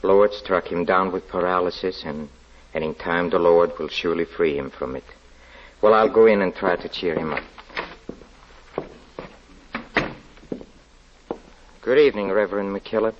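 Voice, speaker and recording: An actor playing a murderer who poses as a pious pastor, with a hesitant, insinuating manner, in 1940s radio drama style. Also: male voice, deep voice, a little fast, slight background noise, close to an everyday voice. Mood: pious, gentle. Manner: hesitant, insinuating, broken phrases. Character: murderer posing as a pious, soft-spoken pastor, menacing. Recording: muffled 1940s radio recording.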